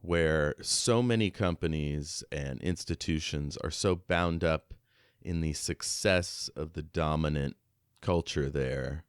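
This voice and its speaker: A middle-aged man co-hosting a podcast, talking casually in a measured, reflective way. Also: rumbling low, smooth, no echo, clean studio sound. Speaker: podcast co-host. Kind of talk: casual discussion.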